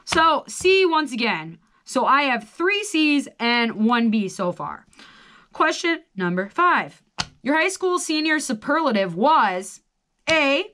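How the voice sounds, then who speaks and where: A woman's voice: high-pitched, sing-song, slow, sharp tone. An American woman in her twenties chatting casually and reading a quiz aloud, with wry, self-deprecating asides. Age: 20s. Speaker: host of a solo quiz video. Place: small radio studio.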